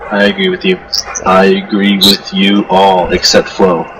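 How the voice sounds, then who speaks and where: A man's voice: sits low, little pitch movement, a little slow, noisy background. A man hosting a casual wrestling chat, joking and crude as he hands out questions. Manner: joking, crude, leads the talk. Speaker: host.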